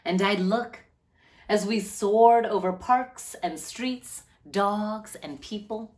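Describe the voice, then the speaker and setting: A woman with a medium-pitched voice reads a picture book aloud to children. Her delivery is expressive and deliberate, lingering on key words as a storyteller does. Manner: animated, deliberate phrasing with drawn-out words.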